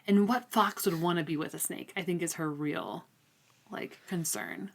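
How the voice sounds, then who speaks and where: A woman's voice: fairly low pitch, moderate pace, creaky. A woman in her thirties with an American accent, co-hosting a casual book chat and explaining things in order. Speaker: podcast co-host.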